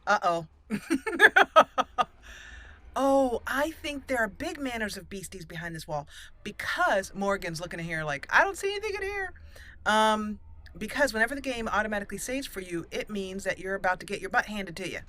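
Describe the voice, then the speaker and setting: A woman's voice: mid-range voice, expressive, moderate pace, sharp tone. A woman giving chatty, playful gameplay commentary as a let's play host, casual with some roleplay.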